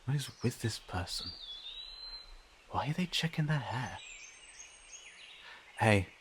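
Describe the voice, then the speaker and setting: A man playing a friendly young cat-person in an audio roleplay, talking informally and hesitantly to a silent listener, with gentle questions and dry teasing. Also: mid-range voice, animated, takes it slowly, a little hiss or hum. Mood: startled, puzzled.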